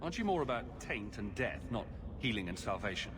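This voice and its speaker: An actor playing a cool, quick-witted adventurer hero in a video game, with a dry, teasing delivery. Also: male voice, fairly low pitch, sing-song. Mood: dry, probing. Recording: clear background noise.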